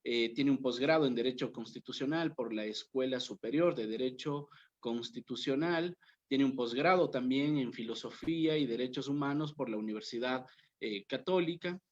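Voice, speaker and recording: Male seminar host, dull low-fidelity recording, introduces the speaker with hesitant pauses. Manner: reads a biography with many filler pauses. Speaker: seminar moderator. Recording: dull lo-fi audio.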